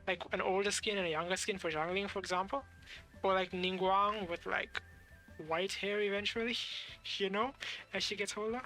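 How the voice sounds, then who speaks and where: A woman's voice: mid-range voice, steady tone, moderate pace, a bit bright, poor audio. A young woman giving casual game commentary as a streamer, musing aloud and searching for words as she goes. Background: game music.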